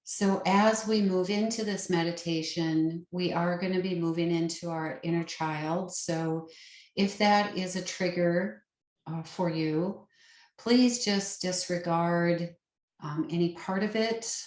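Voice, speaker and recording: A woman leading a guided meditation as a yoga nidra teacher, with a soothing, gentle and unhurried manner. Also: lowish voice, fairly even intonation. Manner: soothing, unhurried, gentle instruction with long pauses. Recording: roomy, echoing sound.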